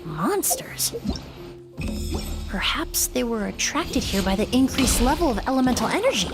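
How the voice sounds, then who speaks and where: A calm, composed perfumer. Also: female voice, on the high side. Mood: measured. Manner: calm, measured and thoughtful. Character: composed young woman perfumer and investigator. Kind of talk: video game voice acting. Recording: game audio with faint music. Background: game music.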